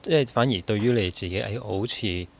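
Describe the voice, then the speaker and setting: A man's voice: fairly low pitch, quick pace, creaky. A man with a Hong Kong Cantonese accent making casual conversational remarks as an interviewer.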